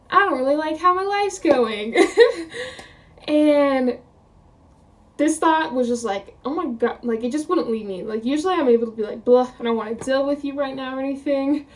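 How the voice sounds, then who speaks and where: High-voiced girl around twenty just chatting to her channel, rambly, mic kinda far. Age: 20s. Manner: chatty, rambling, quoting her own thoughts; laughs often. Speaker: vlogger. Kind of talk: casual chat to camera.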